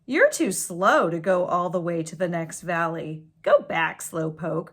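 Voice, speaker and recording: A woman's voice: fairly low pitch, a little slow, fairly bright tone. Taunting young sheep, read by a woman. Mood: mocking. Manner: taunting, dismissive. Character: mocking, impatient young sheep excluding a slower lamb.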